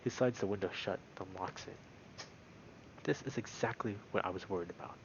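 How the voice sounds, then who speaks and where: A man reading a visual novel aloud as a let's-play host, in an expressive, dramatic storytelling style. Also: sits low, fairly quick, vocal fry, lo-fi sound, slight background noise. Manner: dramatic, with loud reactions. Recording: music behind the voice. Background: game music.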